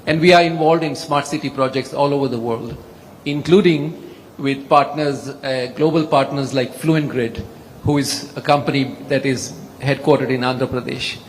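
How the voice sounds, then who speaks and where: A man's voice: medium pitch, fairly even intonation, moderate pace. A mature man with an Indian accent and a mid-range voice speaks from the audience. His address is formal and deferential with emphatic repetition, heard in an echoey, slightly noisy hall. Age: middle-aged or older. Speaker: tech executive speaking from the audience. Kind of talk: unscripted formal address to a leader. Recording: echoey hall with background noise.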